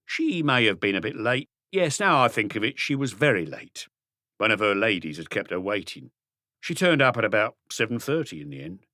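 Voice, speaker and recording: A man's voice: on the low side, sing-song, normal speed, bright, forceful tone, no echo, clean studio sound. A man voicing a shifty thirty-five-year-old small-time crook, defensive and evasive, in an audiobook mystery. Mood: evasive, indignant.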